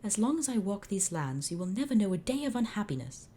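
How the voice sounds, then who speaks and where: A woman voicing a gentle, kindly young male pharaoh, speaking with warm courtesy. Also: mid-range voice, sing-song, quick pace, husky, voice pushed up.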